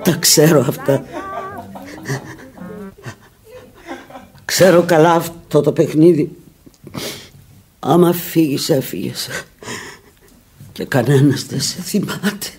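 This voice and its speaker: An actress playing a gravely ill, stubborn, desperate woman in a radio play, halting and repeating her words. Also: sits low, darkish tone, recorded from a distance, soft background music. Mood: bitter, resigned. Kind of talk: dramatic Greek radio play acting. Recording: echoey, noisy old radio drama recording.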